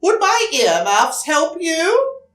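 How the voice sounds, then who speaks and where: A big, booming lion from a storybook as a woman puts it on. The delivery is loud and drawn-out, with lively swings of pitch, a little far from the mic. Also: full character voice. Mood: loud, confiding. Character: big roaring male lion, secretly afraid of noise. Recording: roomy echo, distant mic.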